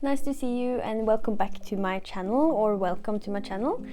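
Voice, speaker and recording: A young woman from Norway talks casually to her camera, her speech full of "like" and "you know". Her pitch sits in the middle, neither high nor low. Faint music plays softly behind her. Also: moderate pace. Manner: chatty, many fillers like "like" and "you know". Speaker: vlogger.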